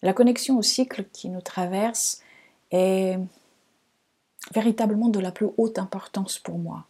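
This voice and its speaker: A woman from Portugal with a Portuguese accent, speaking French as a second language in a reflective, measured podcast monologue, pausing between phrases. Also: mid-range voice, gravelly, mellow, breathy edge. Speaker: podcast host and astrologer.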